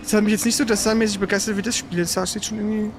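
A man giving casual, slangy let's play commentary while he plays, reacting out loud to the game. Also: high voice, flat delivery, racing, background noise. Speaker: let's player.

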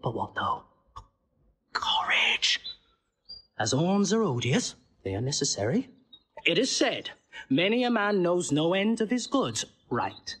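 An actor playing a witty, bawdy male court jester with a husky voice in a stage play, mocking and theatrical. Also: very animated, unhurried, pauses often, fairly bright tone, exaggerated voice. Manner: mocking, theatrical, wide swings in pitch.

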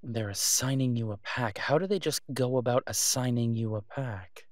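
A man's voice: medium pitch, moderate pace, no echo, clean studio sound, close to an everyday voice. A man playing a caring, easygoing boyfriend in an intimate audio roleplay, talking in a hesitant, reassuring, conversational way. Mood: concerned, soothing. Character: caring, easygoing human boyfriend of a werewolf woman.

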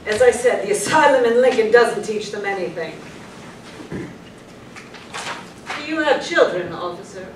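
Woman playing stern, slow-spoken hospital official. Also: mid-range voice, lively intonation, close to an everyday voice. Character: stern, proper hospital worker talking to police. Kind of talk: staged play reading. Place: small indoor venue with audience. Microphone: far camera. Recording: echoey room, distant mic, faint noise.

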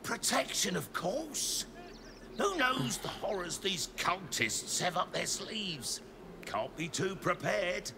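A man's voice: sits high, big pitch swings, slow pace, background noise, heavily acted. An actor playing a male tiefling vendor pushing protective charms with a salesman's patter. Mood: pitching wares.

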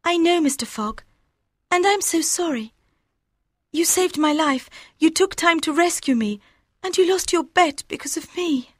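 A woman voicing a tender, emotional gentlewoman in love, earnest and pleading, in an audiobook. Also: extremely high pitch, lively intonation, a little slow, no room sound, studio quality, voice pushed up. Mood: sad, grateful.

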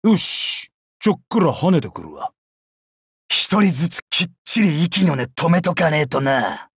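Anime game berserker samurai: battle-crazed, boastful, coarse; gravelly rasp, piercing bright edge, wildly swinging pitch. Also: male voice, big pitch swings, unhurried, dry recording, clean studio sound, heavily acted. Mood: menacing relish. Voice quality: rough, raspy. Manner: brash, coarse rough-talking, swinging from growls to shrieks.

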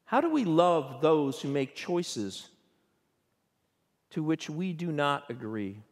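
An older man, a bishop, preaching a sermon from a pulpit in a church sanctuary, measured and questioning in tone. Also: fairly high pitch, very animated. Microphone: pulpit microphone. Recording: long church reverb.

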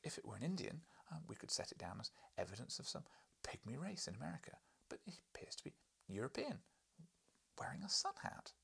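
Male reader as timid young journalist, polite, stammering, baffled, raised pitch, breathy, storybook character. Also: sing-song, brisk, bright, forceful tone, in a whisper, heavily acted. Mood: hesitant, puzzled.

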